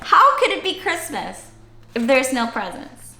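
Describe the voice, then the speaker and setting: A woman reading a children's storybook aloud in an expressive, dramatic way, addressing young listeners directly. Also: high voice, measured pace. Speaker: children's read-aloud host. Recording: roomy, echoey sound.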